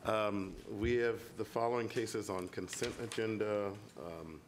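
A man's voice: fairly low pitch, measured pace, a little hiss or hum. A man chairing a public meeting informally, speaking as board chairman at a table microphone. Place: indoor meeting room.

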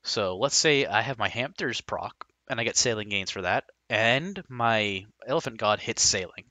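A man narrating a casual game guide over screen footage, rambling and hesitant with frank opinions, his voice slightly hoarse. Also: on the low side, animated, normal speed, pushed and bright, dry recording.

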